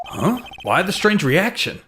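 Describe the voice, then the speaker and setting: Man playing an animated, wry young lawyer. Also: sits high, measured pace, background noise. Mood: hesitant, wry. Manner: hesitant, with dry muttered asides. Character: earnest young male defense lawyer, wry and easily exasperated. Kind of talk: fan-dub video game voice acting.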